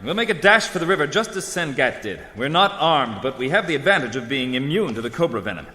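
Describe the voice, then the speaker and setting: A man's voice: on the high side, sing-song, quick pace, bright, forceful tone, long reverb tail. An actor playing a level-headed, authoritative adventurer hero who leads his team in an old-time radio serial. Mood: urgent command. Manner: authoritative, decisive, crisp.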